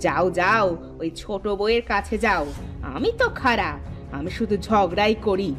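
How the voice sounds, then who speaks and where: A woman voicing a quarrelsome, suspicious, sharp-tongued village wife in a comic folk-tale cartoon, with theatrical scolding and wailing. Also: mid-range voice, moderate pace, music in the background, close to an everyday voice. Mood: jealous, wailing.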